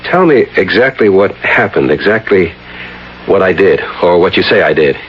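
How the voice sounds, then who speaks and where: An American actor playing an intense, troubled male professor in a vintage radio drama, speaking haltingly with dramatic pauses and repeated words. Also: low voice, lively intonation. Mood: indignant, disbelieving. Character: intense troubled male parapsychology professor, astonished by his own experience. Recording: dull lo-fi old radio broadcast, faint noise.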